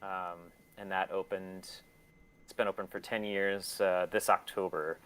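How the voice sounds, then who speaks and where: A man's voice: low-pitched, little pitch movement, a little slow, fairly bright tone, a little hiss or hum, poor audio. A man talking casually as an interview guest, rambling in a self-deprecating way and swearing freely. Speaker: interview guest, record label and shop owner.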